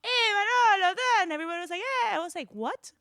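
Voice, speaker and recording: A woman from Venezuela co-hosting a podcast in casual chat, playful and teasing, who bursts into loud shrieking laughs. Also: extremely high pitch, animated, fast talker, no echo, clean studio sound. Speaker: podcast co-host.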